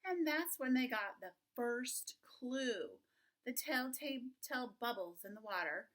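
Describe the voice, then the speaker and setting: A woman reading a children's book aloud expressively, with drawn-out emphasis and chatty asides. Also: fairly high pitch, animated, a bit bright. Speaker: reader of a children's picture book.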